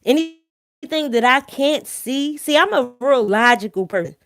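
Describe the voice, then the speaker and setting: A woman's voice: high-pitched, lively intonation, a little slow, fairly bright tone. A woman with a thick Philadelphia accent talks informally to her live-stream viewers, blunt and emphatic, slangy, swearing freely and checking that they follow. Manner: repeatedly checks listeners follow with tag questions. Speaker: online live-stream host. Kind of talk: informal opinionated talk to viewers.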